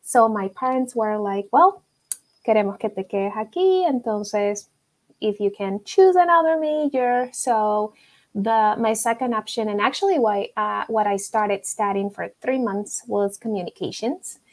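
A woman's voice: mid-range voice, measured pace. A woman, a therapist guest telling her story reflectively with frequent ums. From Venezuela. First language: Spanish. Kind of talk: casual interview conversation.

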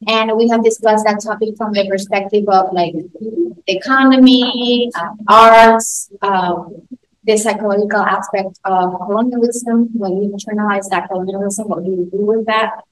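A woman whose first language is Spanish, hosting an interview podcast in a halting way, with many fillers and restarts. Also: mid-range voice, fairly even intonation, rough recording. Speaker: podcast host. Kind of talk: casual interview.

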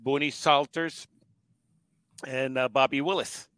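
A man's voice: mid-range voice, fairly even intonation, measured pace, fairly bright tone, clean tone, no room sound. A man in his sixties from Puerto Rico, a guest broadcaster telling stories in a casual interview, often chuckling and restarting his sentences.

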